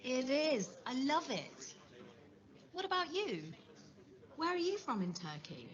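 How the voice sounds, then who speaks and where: A woman's voice: sits high, wildly swooping pitch, unhurried, full character voice. An actress playing a cheerful young woman chatting with a friend, speaking enthusiastically in a learning skit. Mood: enthusiastic. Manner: enthusiastic, gushing. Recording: muffled lo-fi video audio.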